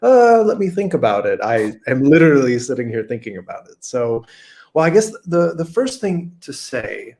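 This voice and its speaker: A man talking as a guest over a video call, energetic and thinking aloud with many restarts. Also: mid-range voice, normal speed. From United States. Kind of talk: unscripted conversation.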